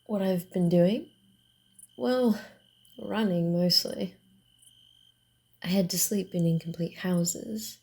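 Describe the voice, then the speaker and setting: A woman voicing a proud, playful vampire wanderer in an ASMR roleplay, halting and teasing, easily flustered. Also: lowish voice, darkish tone. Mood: casual, teasing. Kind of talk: ASMR roleplay, acted one-sided dialogue.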